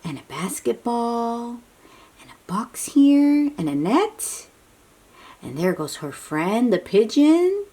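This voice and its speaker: A woman reading a picture book aloud to young children in an animated, breathy, questioning way, drawing out her words. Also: fairly high pitch. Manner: animated, drawn-out, questioning delivery aimed at little children. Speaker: children's read-aloud host.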